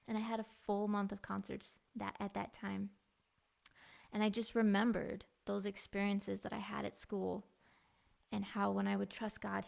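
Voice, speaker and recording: A woman in her thirties giving a heartfelt personal devotional testimony in an earnest, soft-spoken way. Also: mid-range voice, creaky. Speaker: devotional speaker and music minister. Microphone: clip-on mic.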